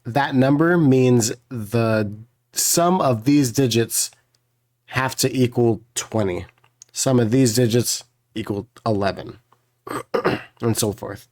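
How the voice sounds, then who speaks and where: A man with a hoarse voice hosts a puzzle video, casually explaining the rules in a rambling, apologetic way. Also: mid-range voice, slow, darkish tone. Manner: rambling, many fillers and restarts, apologetic.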